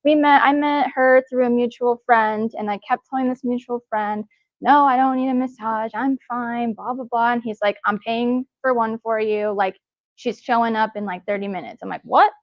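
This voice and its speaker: A woman's voice: on the high side, steady tone, moderate pace, no room sound, highs cut off. A woman hosting a live wellness event, introducing guests with enthusiasm and plenty of fillers. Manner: enthusiastic, cheering, frequent fillers. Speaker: event host. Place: indoor event space.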